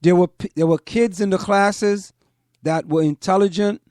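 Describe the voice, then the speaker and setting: A man with a high voice, recorded dry and close. He talks to listeners as a host giving commentary, deliberately, repeating phrases to drive them home. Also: fairly even intonation, darkish tone, dry recording. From Trinidad and Tobago. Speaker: host of a community parents' talk show.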